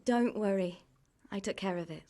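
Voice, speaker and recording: A woman acting a caring, world-weary young miller's niece in a medieval video game drama, plain-spoken and gently chiding. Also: mid-range voice, moderate pace, close to an everyday voice. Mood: soft, concerned.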